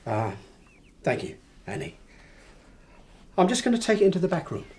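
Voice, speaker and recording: A man's voice: sits high, very animated, normal speed, a little mellow, slight background noise. An actor playing a curt, evasive middle-aged English husband and father in a radio drama, domineering but hesitant when questioned. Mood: irritable, curt. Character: evasive, irritable husband and father hiding guilt.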